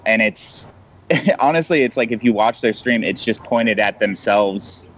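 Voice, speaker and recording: Dull-sounding lo-fi man, lowish voice. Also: measured pace. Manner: informal, hedging, peppered with "like". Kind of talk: casual conversation on a podcast. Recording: dull lo-fi sound, faint noise.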